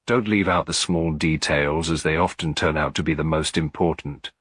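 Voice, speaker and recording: A man voicing a kindly elderly lady detective, gentle, reassuring and politely inquisitive, in a storybook reading. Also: a bass voice, steady tone, normal speed, husky, no echo, clean studio sound, full character voice.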